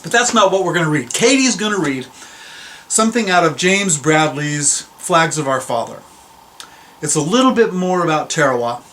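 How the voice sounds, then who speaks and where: A man's voice: a little high, fairly bright tone. A man hosting a video series, chatting casually and informally as he speaks straight to his viewers. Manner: informal, addressing viewers directly. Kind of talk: casual chat introduction to a reading.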